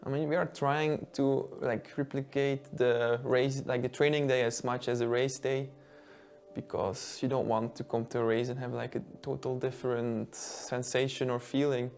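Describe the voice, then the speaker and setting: A man's voice: mid-range voice, level tone, normal speed, gravelly, darkish tone. A man from Belgium, a ski racer speaking casually in a documentary interview and often reaching for words. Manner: casual, filler-laden, searching for words.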